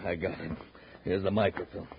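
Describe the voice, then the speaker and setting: A man's voice: low voice, little pitch movement, normal speed, close to an everyday voice. An actor playing a callous henchman of a space thief in a 1950s radio drama. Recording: dull, very noisy 1950s radio recording.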